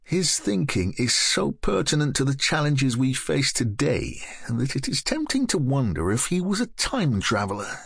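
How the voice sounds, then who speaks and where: A man narrating a nonfiction audiobook in a measured, articulate, scripted reading. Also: medium pitch, very animated, vocal fry, a bit bright, breathy edge, no echo, clean studio sound.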